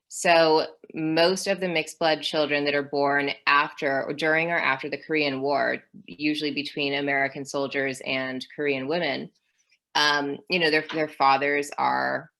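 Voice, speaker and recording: Low-voiced woman historian, thoughtful. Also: flat delivery, a little slow, vocal fry, a bit bright. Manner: thoughtful, with many fillers. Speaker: guest historian. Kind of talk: academic interview.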